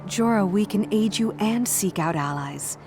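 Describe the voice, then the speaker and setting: A woman as kind adventuring heroine, earnest, medium pitch, game cutscene, music underneath. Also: animated, normal speed, natural voice. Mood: concerned. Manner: caring, earnest. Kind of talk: video game cutscene acting. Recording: music behind the voice.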